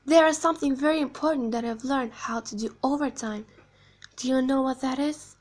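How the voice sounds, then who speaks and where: Woman voicing an eerie, high-pitched animatronic clown girl. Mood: secretive, sly. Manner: slow, deliberate, hushed storytelling, dropping into whispers. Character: eerie soft-spoken animatronic girl clown, sinister and falsely sweet. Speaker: amateur voice actor. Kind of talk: video game character monologue.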